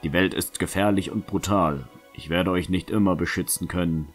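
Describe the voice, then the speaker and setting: Casting: male actor as stern, weary fantasy knight, very low voice, grave lecturing delivery, music underneath. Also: steady tone, moderate pace, natural voice. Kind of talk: fantasy audio drama acting.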